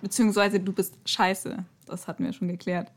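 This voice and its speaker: A woman's voice: medium pitch, flat delivery, normal speed. A woman chatting casually as a podcast guest, blunt and argumentative in the way she talks.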